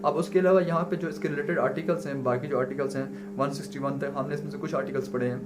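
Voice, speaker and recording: A man's voice: on the high side, steady tone, quick pace. Pakistani man lecturing over a noisy hum. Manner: didactic, asks questions and answers them himself. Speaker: law lecturer. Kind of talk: informal explanatory lecture mixing English legal terms. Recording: noisy recording with clear hum.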